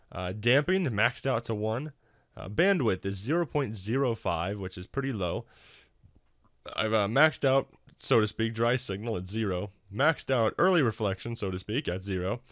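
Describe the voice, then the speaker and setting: Low-voiced man, casual tutorial host. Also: a bit bright, no echo, studio quality. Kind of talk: casual software tutorial narration.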